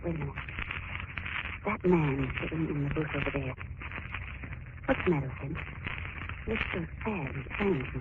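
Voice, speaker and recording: A woman's voice: deep voice, lively intonation, normal speed, pauses often. An actress playing a sleuth's anxious, devoted lady companion with dramatic, earnest delivery in a 1940s radio drama. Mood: flustered, curious. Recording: tinny, noisy 1940s radio recording.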